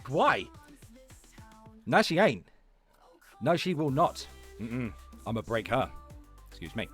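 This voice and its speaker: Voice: mid-range voice, big pitch swings, slow. A livestream host chatting casually and reacting, with foul-mouthed, mock-indignant joking, over music.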